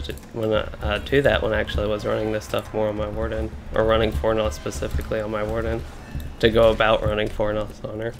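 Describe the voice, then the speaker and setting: A man's voice: lowish voice, vocal fry. A young man from Kentucky, casually commenting while he plays an online game, thinking aloud with many fillers and restarts. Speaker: game streamer.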